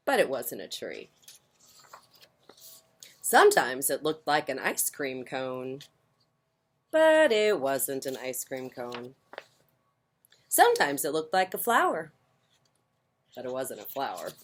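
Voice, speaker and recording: A woman's voice: a bass voice, wildly swooping pitch, slow pace, pauses often, husky. A woman reading picture books aloud to children, playful and informal, with casual asides. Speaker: children's storyteller.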